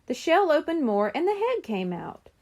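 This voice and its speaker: Lively woman reading a children's book. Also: high voice, normal speed. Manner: expressive storytelling. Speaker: audiobook reader. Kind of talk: children's book read-aloud.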